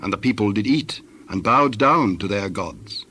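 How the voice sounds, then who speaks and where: A man narrating scripture from a script in a measured, formal way. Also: fairly low pitch, expressive, sharp tone, breathy edge.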